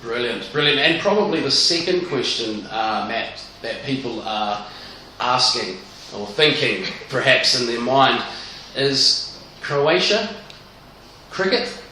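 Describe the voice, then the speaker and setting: A man's voice: mid-range voice, lively intonation, slow pace, bright, forceful tone, a little hiss or hum. A man hosting a radio interview, asking long, rambling questions. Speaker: radio host. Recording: echoey room, mic at a distance.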